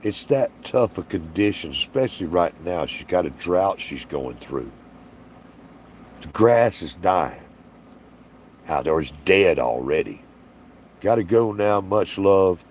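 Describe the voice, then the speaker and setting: A slow, unhurried man chatting on a livestream, folksy and rambling as he reads out viewer comments. His voice sits very low and sounds tired and strained. A faint hum of background noise stays under him. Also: animated, a little mellow. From Alabama, US. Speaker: livestream host. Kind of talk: casual livestream Q&A chat.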